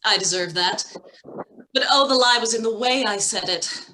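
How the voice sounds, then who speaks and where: A woman's voice: fairly high pitch, moderate pace, rough recording. A woman playing a slippery, pleading femme fatale in a 1940s radio drama, hesitant and melodramatic. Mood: confiding, troubled. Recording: bright video-call audio, faint noise.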